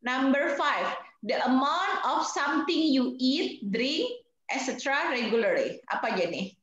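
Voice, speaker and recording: Chatty teacher with a very echoey sound. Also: female voice, high-pitched, lively intonation, unhurried, a bit bright, highs cut off. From Indonesia. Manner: chatty, explains vocabulary and quizzes students. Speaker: English teacher for nursing students. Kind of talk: online class lecture mixing two languages.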